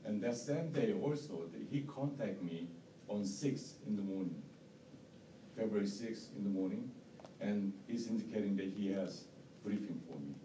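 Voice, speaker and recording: A man with a strong non-native accent presents his case formally at a hearing. His voice is bright and fairly low, and his phrasing is halting and repetitive. It is recorded in a roomy indoor space from some distance. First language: not English. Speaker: bidder presenting an appeal at a hearing. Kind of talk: formal statement, partly read aloud. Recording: roomy, distant mic, faint noise.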